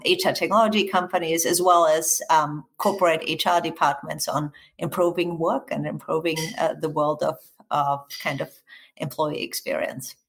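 A middle-aged woman from Austria, with an accent mixing American and Austrian, speaking as a guest in a podcast interview, upbeat and full of fillers and restarts. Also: sits low. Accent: mix of American and Austrian. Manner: enthusiastic, with frequent fillers and restarts. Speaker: guest, HR research executive.

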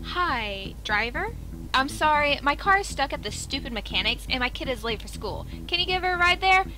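Harried, polite mother, high-pitched and very animated, for a comic game. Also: normal speed, soft background music, exaggerated voice, rough recording. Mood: hurried. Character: hurried, polite mother needing a ride. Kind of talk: comic game cutscene acting.

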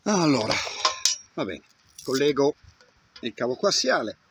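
A man's voice: medium pitch, sing-song, measured pace. A man in his fifties talking through a casual hands-on tutorial, half to himself, outdoors on a camera microphone. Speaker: amateur radio hobbyist demonstrating antenna tuning. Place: open grassy field outdoors. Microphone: camera mic, varying distance. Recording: noisy outdoor recording with clicks.

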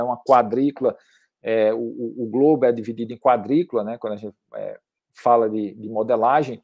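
A middle-aged Brazilian man giving an online academic lecture, haltingly, repeating words as he builds his sentences. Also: mid-range voice, dark tone. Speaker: climate researcher, guest lecturer.

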